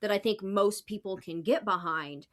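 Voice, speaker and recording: A woman's voice: medium pitch, moderate pace, fairly bright tone. A woman on a podcast panel discussing politics in an informal, opinionated way.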